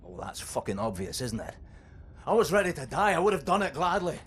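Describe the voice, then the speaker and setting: Casting: embittered, weary veteran in a game, middle-aged, sweary, swinging between mutters and outbursts. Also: male voice, a little high, big pitch swings, fairly quick. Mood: bitter anger. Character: bitter, weary, aging male resistance soldier. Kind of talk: dramatic game cutscene acting. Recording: noisy ambience behind the voice.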